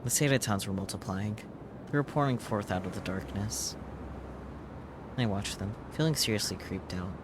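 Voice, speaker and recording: A man's voice: on the low side, moderate pace, background noise. A man streaming a visual novel, reading the game's text aloud as dramatic narration. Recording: loud music behind the voice.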